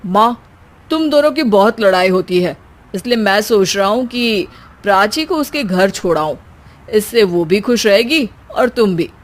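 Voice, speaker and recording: A woman's voice: medium pitch, animated, a little slow, close to an everyday voice. Woman doing an exasperated, sarcastic son. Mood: sly, sarcastic. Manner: sarcastic, lecturing. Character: exasperated, sarcastic adult son scolding his bickering family.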